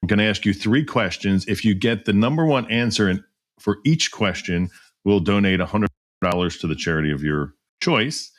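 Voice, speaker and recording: A man hosts a podcast interview and runs a quick quiz game with his guest. His voice sits very low, and he keeps things upbeat and playful, chuckling as he goes. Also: lively intonation. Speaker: podcast host.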